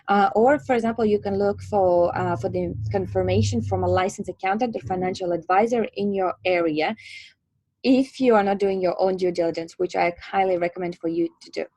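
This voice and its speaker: A woman with a Latvian accent giving an online presentation, explaining in a hesitant, halting way with many filler words. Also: medium pitch, a little mellow, no echo, rough recording. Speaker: presenter and trainer.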